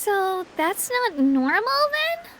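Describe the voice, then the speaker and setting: A woman's voice: very high-pitched, sing-song, slow pace, background noise, full character voice. A woman voicing a cheerful, easygoing young girl guide in a fantasy video game, friendly and reassuring. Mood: explaining. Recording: game music behind.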